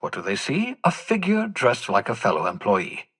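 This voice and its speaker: Eccentric, husky, excitable older scientist. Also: male voice, mid-range voice, moderate pace, full character voice. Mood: sly, confident. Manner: theatrical, erudite, rambling, swinging from mutters to exclamations. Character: eccentric older male mad scientist, excitable, vain rebel. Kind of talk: video game character dialogue.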